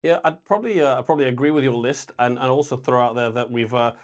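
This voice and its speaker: A man's voice: mid-range voice, fast, muffled recording. A man co-hosting a casual conference recap, talking in a hesitant, rambling way with repeated words. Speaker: co-host reporting on an industry conference.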